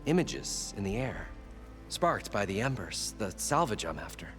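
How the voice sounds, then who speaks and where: A chatty folksy salvager. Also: male voice, fairly low pitch, big pitch swings, normal speed, fairly bright tone, faint music behind. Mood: recounting. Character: eager older male salvager, folksy and chatty. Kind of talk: video game cutscene acting.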